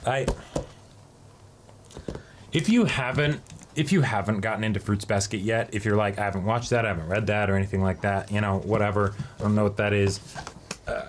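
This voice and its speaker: Man, lowish voice, casual unboxing co-host, easygoing, dry humor, clearly noisy background. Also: fairly quick, long pauses. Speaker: YouTube channel co-host.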